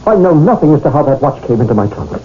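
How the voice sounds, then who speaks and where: An actor playing an indignant, hard-up gentleman accused of theft in a 1970s radio drama, formal and theatrical. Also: fairly high pitch, sing-song, quick pace. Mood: outraged protest. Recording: muffled, noisy old radio recording.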